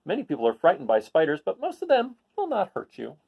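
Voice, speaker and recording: A man's voice: fairly high pitch, wildly swooping pitch, fast, creaky, slightly rough, lo-fi sound. A man reading a children's picture book aloud, adding casual asides and putting questions to his listeners. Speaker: read-aloud host.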